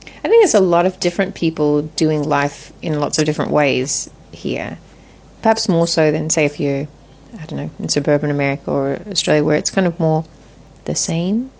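A woman in her forties, a guest in an interview, talking in a thoughtful, measured way with frequent restarts. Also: deep voice, gravelly, a little mellow. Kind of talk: reflective interview conversation.

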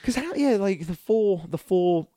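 A man's voice: sits high, expressive, unhurried, warm tone, dry recording, clean studio sound. A man co-hosting a podcast, chatting casually about entertainment in a rambling, sweary way. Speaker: podcast host. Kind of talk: casual film and video game chat.

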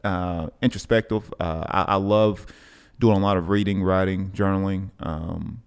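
A man hosting a podcast in casual conversation, speaking in a halting, reflective way and often repeating words. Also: sits low, fairly even intonation, clean tone. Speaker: podcast host, coach. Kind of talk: casual interview chat.

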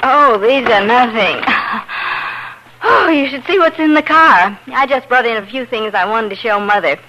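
A cheerful grown daughter in a 1950s radio serial. She is breezy and gushing, with a bright, highish voice that moves around a lot in pitch. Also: lively intonation, measured pace, a little hiss or hum, rough recording. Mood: breezy, excited. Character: cheerful, chatty grown daughter back from shopping. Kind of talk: 1950s radio drama acting.

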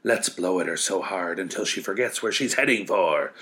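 Man as loud, blustering winter wind spirit. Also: deep voice, animated, normal speed. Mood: furious. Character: furious, blustering winter whirlwinds and blizzards, proud bullies.

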